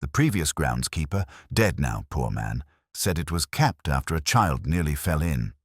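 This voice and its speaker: A man's voice: rumbling low, big pitch swings, normal speed, breathy edge, no room sound, studio quality, full character voice. A man voicing a dutiful servant in an audiobook, plain and respectful in his delivery. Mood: sombre, matter-of-fact.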